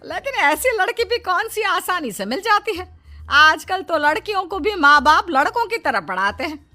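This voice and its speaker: A woman's voice: very high-pitched, big pitch swings, normal speed, sharp tone, full character voice. A woman voicing an older mother-in-law in a cartoon, talking in a fretful, chatty way. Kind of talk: cartoon voice acting.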